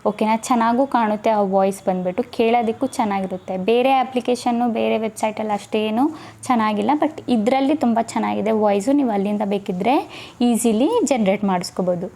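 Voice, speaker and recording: A woman's voice: on the high side, fairly quick, creaky, mellow. A woman giving a casual step-by-step tutorial, often checking in with her listeners. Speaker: tutorial host.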